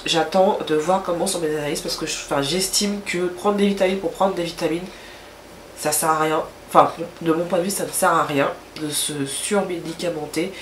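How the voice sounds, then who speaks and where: A woman's voice: on the low side, fairly even intonation, moderate pace, roomy sound, a little hiss or hum. A woman with a stuffy, nasal voice from a cold, chatting casually to camera in a rambling way with many hesitations. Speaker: vlogger.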